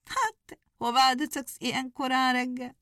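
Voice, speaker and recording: A woman voicing a boastful male hare who mocks his rival in a high, scornful tone. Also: fairly even intonation, bright, forceful tone, no room sound, clean studio sound. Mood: mocking. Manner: high mocking laughing tone.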